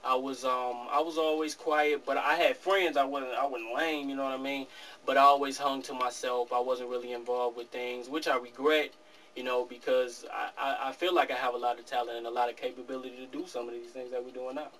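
Eighteen-year-old male rapper, quick and earnest, recorded off-mic in a small room. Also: mid-range voice, little pitch movement, fairly bright tone. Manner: fast, earnest, laughs easily. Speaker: rap artist guest. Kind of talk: casual interview. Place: small office studio. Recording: distant camera mic with faint room noise.

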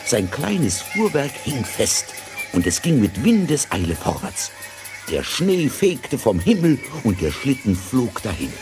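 A man narrating a scripted fairy tale in a dramatic, deliberate storytelling manner. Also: medium pitch, wildly swooping pitch, over music.

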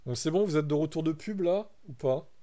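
A man's voice: a little high, lively intonation, fairly quick. Chatty young French man streaming. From France. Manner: chatty, reacts loudly to chat, reads aloud. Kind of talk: casual live-stream chat.

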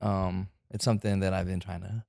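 A man in his thirties with a lowish voice tells his story in a loose, halting way, pausing on fillers and restarting his sentences. He is from the Bay Area and speaks into a close studio mic in a living room. The sound is dry and close. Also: flat delivery, vocal fry, mellow, dry recording. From San Francisco Bay Area. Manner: hesitant, many fillers and restarts. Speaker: guest actor. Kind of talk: casual interview chat. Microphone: studio mic on arm.

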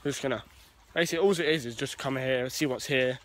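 A man's voice: mid-range voice, fast, fairly bright tone, a little hiss or hum. Young man chatting to viewers. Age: young adult. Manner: chatty, addresses viewers directly, nonstandard grammar. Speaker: vlogger. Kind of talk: casual walking vlog. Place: overgrown woods outdoors. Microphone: friend's handheld phone.

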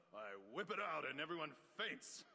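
An actor playing a boastful, swaggering monster hunter in a video game, with a cocky, theatrical delivery. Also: male voice, fairly high pitch, very animated, unhurried, vocal fry, bright, forceful tone, long reverb tail, a little hiss or hum, heavily acted. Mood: boastful joking. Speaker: game character voice lines.

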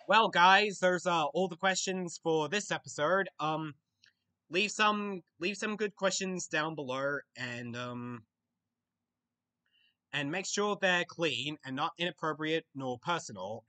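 A young man with an Australian accent, chatting casually on a webcam in his bedroom as he answers viewer questions, often pausing and restarting to think. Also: fairly high pitch, pushed and bright. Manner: halting, with frequent restarts and pauses to think. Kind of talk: casual Q&A chat. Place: small bedroom, indoors.

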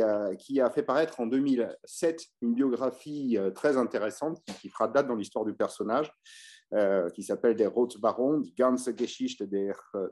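A man gives an evening history lecture, speaking off the cuff in a mellow, darker voice. He hesitates a lot, filling pauses and restarting phrases as he explains. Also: medium pitch. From France. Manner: hesitant, many filler sounds and restarts. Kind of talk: unscripted illustrated history talk.

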